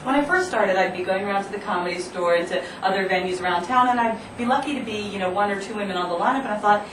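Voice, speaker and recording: Young woman, from philadelphia, witty guest banter, laughs a lot, roomy echo, heard from afar. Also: medium pitch. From Philadelphia, Pennsylvania. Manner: bubbly, quick-witted, laughs often. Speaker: comedian and comedy booker, guest. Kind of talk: casual comedic chat show banter. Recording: echoey room, far from mic, noisy.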